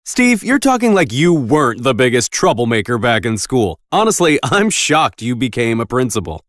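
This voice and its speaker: A man voicing a kind, protective middle-aged father in an animated story, speaking earnestly and expressively. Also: medium pitch, moderate pace, no echo, clean studio sound. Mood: indignant.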